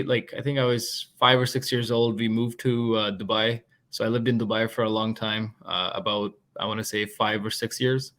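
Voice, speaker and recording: A man in his twenties, a podcast guest and product manager, talking in a relaxed, chatty way. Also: mid-range voice. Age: 20s. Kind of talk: casual interview talk.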